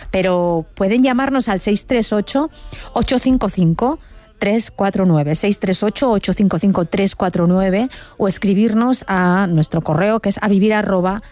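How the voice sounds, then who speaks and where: A woman with an accent from Spain presenting a conversational radio interview in an effusive, expressive way. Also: mid-range voice, moderate pace. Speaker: radio show host.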